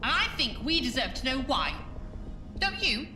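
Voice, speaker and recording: A woman plays a bold female ghost investigator in an audio drama, high-voiced and very animated. She is emphatic and easily exasperated, heard in an echoey room away from the mic. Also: moderate pace. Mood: presenting, challenging. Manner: emphatic, outspoken. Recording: echoey room, distant mic, faint music.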